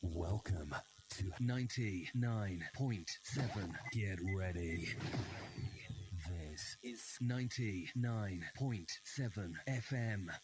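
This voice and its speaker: A man announces a scripted radio station ident at a very slow pace. His voice is fairly low and sits in loud, humming background noise.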